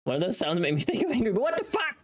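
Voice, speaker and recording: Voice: medium pitch, huge pitch swings, rapid-fire, slightly rough. A livestream host chatting casually, rambling and thinking aloud to themselves.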